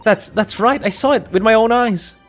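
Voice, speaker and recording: Man putting on a high-pitched flustered married woman. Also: normal speed, a bit bright, faint music behind. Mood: flustered protest. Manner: stammering, protesting.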